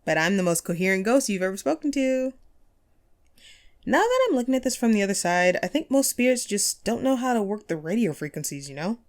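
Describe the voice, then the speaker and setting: Woman acting a chatty, animated female ghost radio host. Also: medium pitch, close to an everyday voice. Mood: chatty, enthusiastic. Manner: playful, animated, chatty with musing pauses. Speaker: audio roleplay voice performer. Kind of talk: scripted one-sided audio roleplay, conversational.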